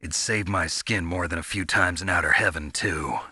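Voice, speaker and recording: A low, husky male voice with a hard, forceful edge. He is a gruff veteran soldier in a video game, terse and serious, recorded dry and close. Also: normal speed, dry recording, full character voice. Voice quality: gravelly, husky. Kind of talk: video game radio dialogue.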